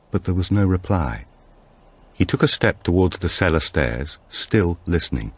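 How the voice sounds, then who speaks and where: Man, very deep voice, scripted thriller narration, measured and suspenseful, lively intonation. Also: slightly rough, no echo. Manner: measured, suspenseful storytelling with animated dialogue. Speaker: audiobook narrator.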